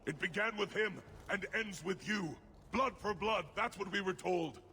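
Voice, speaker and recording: An actor playing a crazed, fanatical dwarf smuggler in a video game, gravelly and fervently raving. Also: male voice, sits high, moderate pace, pushed and bright, in a large hall, a little hiss or hum.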